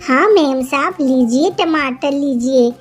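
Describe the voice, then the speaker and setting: Casting: woman to play high-voiced cartoon sparrow vegetable seller, feisty, singsong, quick to scold, light music underneath. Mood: cheerful, eager. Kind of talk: Hindi kids' cartoon character voice.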